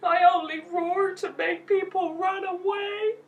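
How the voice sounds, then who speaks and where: A woman voicing a big but timid male lion in a storybook, with dramatic, drawn-out, growly mumbling. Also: extremely high pitch, steady tone, lo-fi sound, voice pushed up, exaggerated voice. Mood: sheepish. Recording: roomy, distant microphone.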